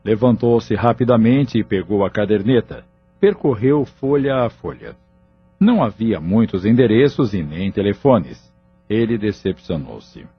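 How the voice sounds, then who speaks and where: A man narrates an audio novel in a scripted, dramatic style. His voice is husky and on the low side, recorded a little dully over soft music. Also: animated, a little slow, dull audio.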